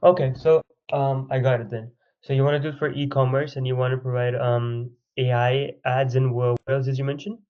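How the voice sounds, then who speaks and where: A man's voice: medium pitch, little pitch movement, brisk, hardly any pauses, dull audio. A man hosting a consulting call, talking loosely with many "you know"s and "like"s. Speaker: consultant host. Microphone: video call.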